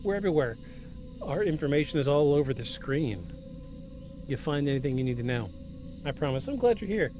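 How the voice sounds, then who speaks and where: Male podcast host, fairly high voice, effusive, mixes scripted narration and casual asides. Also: sing-song, fairly quick, pauses often. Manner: effusive, repeats himself for emphasis. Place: at home. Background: music.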